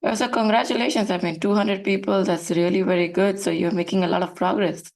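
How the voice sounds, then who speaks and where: A woman's voice: mid-range voice, fairly even intonation, fairly quick, a little mellow. A woman hosting an interview, encouraging her guest with short affirmations before asking questions. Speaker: show host, interviewer. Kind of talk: conversational interview.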